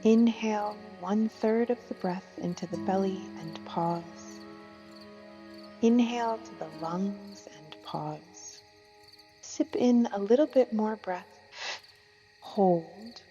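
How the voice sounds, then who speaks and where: A woman leading a guided meditation as a yoga teacher, gentle and soothing, with soft phrasing and long unhurried pauses. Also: medium pitch. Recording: muffled, long reverb, music and insect sounds.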